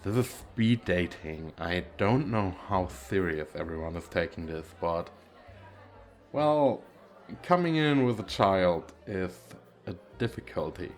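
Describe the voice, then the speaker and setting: A man playing a gentle, awkward single dad who flirts shyly and hesitantly in an ASMR roleplay. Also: sits low, expressive, unhurried. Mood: polite, hesitant. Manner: hesitant, self-deprecating, soft chuckles.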